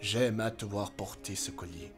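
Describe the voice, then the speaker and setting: A man acting a solemn, tender, low-voiced father. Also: moderate pace, music in the background, natural voice. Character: fatherly male ruler, tender, solemn and reverent. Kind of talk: fan-dubbed animated film acting.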